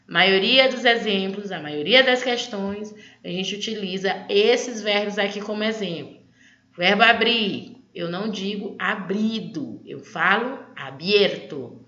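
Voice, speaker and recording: A woman's voice: medium pitch, sharp tone. A Brazilian woman giving an online grammar lesson as a language teacher, explaining didactically and often checking that students follow. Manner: didactic, checks understanding with short tag questions. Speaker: Spanish teacher. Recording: echoey room, slightly dull audio.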